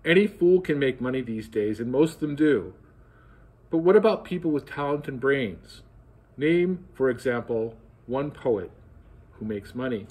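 Older man voicing a self-important colonel in a storybook reading: emphatic, a little husky, pitched slightly up. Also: expressive, a little slow, darkish tone. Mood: lecturing. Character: fussy pompous male army colonel.

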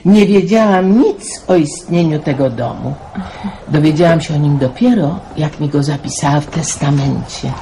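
A woman's voice: low-pitched, mellow. A woman playing a kindly, brave aunt. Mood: reminiscing. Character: older aunt, kindly, brave despite fear. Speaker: aunt Kazia. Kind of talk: radio drama acting. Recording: noisy radio play audio.

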